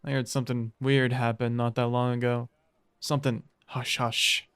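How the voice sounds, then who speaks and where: A man playing a wary teenage boy in an audio drama, hesitant and hushed as he hints at secrets. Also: mid-range voice, flat delivery, normal speed, clear, resonant tone, dry recording, close to an everyday voice. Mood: uneasy.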